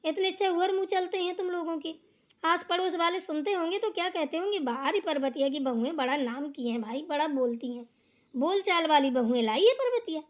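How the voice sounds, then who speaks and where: Woman doing an older cartoon saas, really high voice, bossy, nagging and sarcastic. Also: lively intonation, a little fast. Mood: sarcastic, exasperated. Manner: nagging, sarcastic, rhetorical questioning. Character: scolding, domineering older mother-in-law of a village household. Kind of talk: amateur Hindi family cartoon dialogue.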